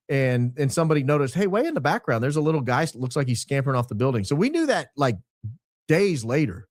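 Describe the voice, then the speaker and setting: Male commentator, higher-pitched and very lively, questioning, repetitive, casual, dry close sound. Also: dry recording. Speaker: host. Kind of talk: casual commentary on audio clips.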